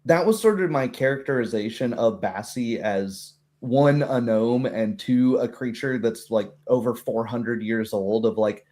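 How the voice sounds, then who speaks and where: A man in the role of game master talks through a tabletop session on a stream. His voice sits at a middle pitch. He is casual and explanatory, and he tends to ramble. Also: unhurried, hardly any pauses, warm tone.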